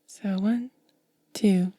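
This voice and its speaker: A woman whispering in soft-spoken ASMR style, gently and unhurriedly explaining each step close to the microphone. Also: medium pitch, steady tone, drawn-out words, dry recording. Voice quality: breathy whisper. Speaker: ASMR content creator.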